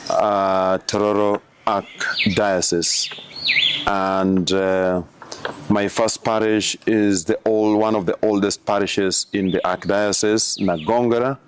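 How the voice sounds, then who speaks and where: Slow, halting Ugandan priest, low voice. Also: male voice, flat delivery, noisy background. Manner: slow, halting, many fillers. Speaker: missionary priest. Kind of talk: interview answers.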